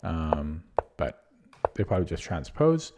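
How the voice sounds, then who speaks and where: A man's voice: a bass voice, sing-song, unhurried, very noisy. A man losing his voice, reviewing his chess game aloud in a casual, hesitant way with frequent ums and restarts. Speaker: chess streamer.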